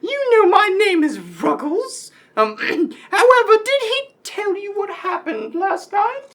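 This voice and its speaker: An actress playing a coy, drowsy, fluttery lady sleuth in a comic radio mystery, with halting delivery. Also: extremely high pitch, sing-song, full character voice. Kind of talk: old-time comic radio mystery acting.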